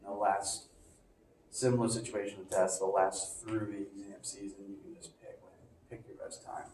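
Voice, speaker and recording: A man's voice: fairly low pitch, moderate pace, background noise. A male physics professor lecturing casually to a class, sniffly from allergies, with many fillers and restarts, recorded on a phone across the room. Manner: relaxed, chatty, with frequent fillers and restarts. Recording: echoey classroom, distant phone mic.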